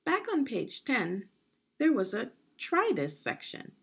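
A woman reading aloud to children as a teacher would, in a guided lesson style with deliberate phrasing. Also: fairly high pitch, wildly swooping pitch, a bit bright, breathy edge. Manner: deliberate teacherly phrasing, asks the listener questions. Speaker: education consultant reading aloud.

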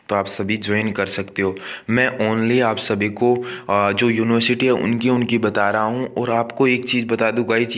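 Flat-voiced tutor man. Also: mid-range voice. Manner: addresses viewers as guys, repeats and restates numbers with frequent "yaani ki". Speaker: online tutor for agriculture exams. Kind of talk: screen-recorded walkthrough. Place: indoors, voice-over on a phone screen recording.

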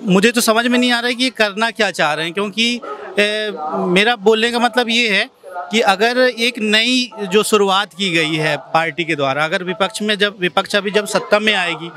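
A man's voice: high voice, normal speed, pushed and bright. A young male student from Jabalpur giving a casual, hesitant opinion in an outdoor street interview at night. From Jabalpur, India. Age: young adult. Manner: hesitant, casual, self-correcting. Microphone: handheld mic. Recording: crowd noise behind.

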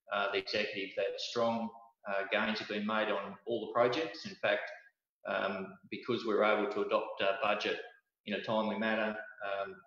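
A man with an Australian accent giving a formal update as a council executive, speaking haltingly. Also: fairly low pitch, fairly bright tone. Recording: very echoey, slightly dull room pickup.

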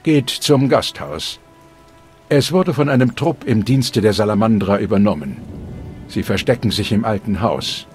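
A stern old fire-cult preacher. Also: male voice, fairly low pitch, animated, a little slow, darkish tone. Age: older. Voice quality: solemn, preaching. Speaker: game character. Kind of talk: dubbed video game dialogue. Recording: game audio with music underneath.

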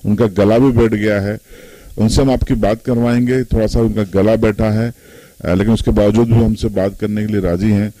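A man's voice: on the low side, steady tone, moderate pace, mellow, no room sound, rough recording. A middle-aged man reporting for local news, formally framing questions in an office. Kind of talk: news interview questions and sign-off. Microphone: handheld branded mic.

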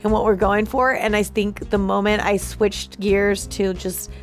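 A woman's voice: mid-range voice, little pitch movement, unhurried, faint music behind. A woman co-hosting a reaction show in chatty, slangy style, acting out imagined lines as she goes. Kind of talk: casual reaction chat.

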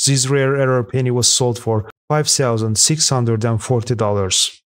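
A man reading a scripted voice-over that counts down rare coins sold at auction, in a steady, even manner with an occasional slip. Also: medium pitch, moderate pace, a little hiss or hum. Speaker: narrator of a coin auction countdown. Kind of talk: scripted voice-over narration read aloud.

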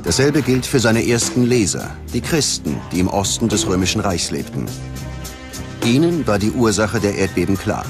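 A man's voice: on the low side, sing-song, moderate pace. A man narrating a documentary from a script in a grave, dramatic manner. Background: background music.

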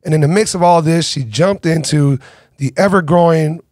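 A man in his forties hosting a podcast, chatting energetically with his guest in a small studio on a boom-arm mic. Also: normal speed, a little mellow, dry recording. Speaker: podcast host. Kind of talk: casual interview. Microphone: boom-arm studio mic with headphones.

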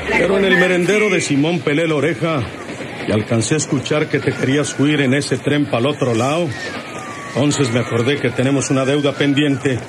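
An actor playing a menacing, mocking bandit with a rural northern Mexican accent and rustic drawl in an old radio drama. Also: male voice, fairly high pitch, fairly even intonation, fairly quick, heavy background noise, natural voice. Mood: vengeful, taunting. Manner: drawling, taunting, threatening.

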